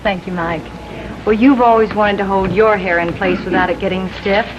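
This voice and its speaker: A woman's voice: medium pitch, steady tone, moderate pace. A woman delivering a scripted live television commercial in a vintage fifties broadcast. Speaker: commercial spokeswoman. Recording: dull, faintly noisy 1950s TV broadcast.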